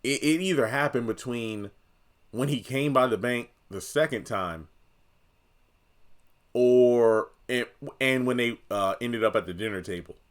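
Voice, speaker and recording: A man's voice: medium pitch, measured pace. A man giving casual, conversational opinion commentary on a TV drama, thinking aloud and speaking straight to viewers. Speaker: video commentator.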